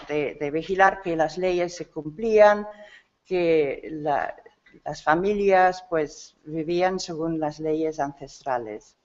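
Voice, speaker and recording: A slow, deliberate lecturer working through a slide talk in a conference hall. The speech halts often, with restarts and self-corrections while searching for words. The recording sounds dull and lo-fi. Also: mid-range voice. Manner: searches for words in a rusty second-nature language. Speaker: symposium speaker.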